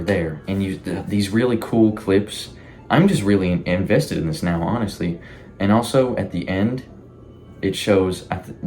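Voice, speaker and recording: Very deep-voiced man rambling casually. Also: darkish tone. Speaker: YouTube host. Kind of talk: casual commentary on a horror series.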